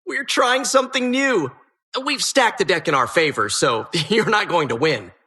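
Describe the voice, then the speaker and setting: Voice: mid-range voice, lively intonation, moderate pace, bright, forceful tone. A performer voicing a sardonic, menacing dungeon host in a video game, with dramatic mock-cheerful patter. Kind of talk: video game character voice lines.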